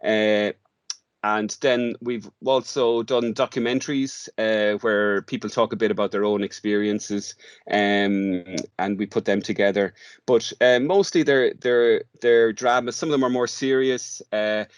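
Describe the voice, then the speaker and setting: A man's voice: lowish voice, fairly even intonation, a little slow, clean tone, no echo. A man explaining his work in an informal interview, often restarting and repeating words as he goes. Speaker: drama workshop facilitator, interview guest.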